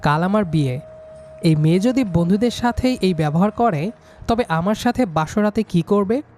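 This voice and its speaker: A man's voice: high voice, lively intonation, moderate pace, a little mellow. A man narrating a scripted first-person story as the storyteller. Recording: music behind the voice.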